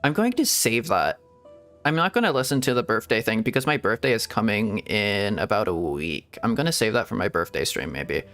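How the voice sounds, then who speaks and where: A man's voice: on the low side, big pitch swings, brisk, a bit bright. Casual male streamer reacting to a game, giggly and rambling, faint music behind. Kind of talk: casual gameplay commentary.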